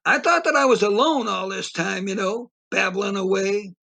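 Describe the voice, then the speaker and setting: Man acting a high-voiced, creaky, spooked storyteller. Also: pushed and bright, heavily acted. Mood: chatty, puzzled. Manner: theatrical, drawn-out emphasis. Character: rambling, easily spooked beachgoer who loves rye, telling his tale. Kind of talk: dramatic first-person audio play narration.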